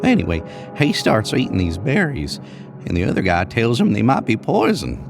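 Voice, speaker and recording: A man's voice: lowish voice, huge pitch swings, normal speed, vocal fry, music in the background, exaggerated voice. A man voicing an older, rambling American cowboy in an audio horror story, with a folksy, drawling manner. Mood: grim, reminiscing. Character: older rambling cowboy spinning a spooky trail tale.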